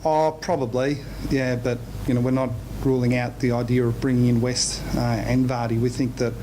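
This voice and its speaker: An Australian man speaking as a football coach at a press conference, answering in a measured, hesitant way. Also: level tone. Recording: noisy, rumbling press conference sound.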